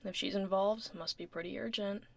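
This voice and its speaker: A woman playing a blunt but caring dragon lady at the office in a soft-spoken, casual ASMR roleplay, with a dry, low-key manner. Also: steady tone, moderate pace, creaky, slight background noise, natural voice. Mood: serious, casual.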